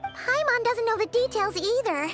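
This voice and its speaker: A woman voicing a small, childlike fairy companion in a video game, squeaky and expressive. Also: moderate pace. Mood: puzzled, worried. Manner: speaks of herself in third person, expressive. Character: small childlike female fairy companion, chatty, third-person talker. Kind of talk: English video game voice acting. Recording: music behind the voice. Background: game music.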